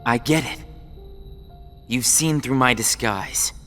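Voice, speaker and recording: A brooding young rival, cold taunting tone, slow measured lines, long reverb, loud music behind. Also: male voice, mid-range voice. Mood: bitter. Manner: cold, taunting, erupting into shouting. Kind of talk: game cutscene voice acting.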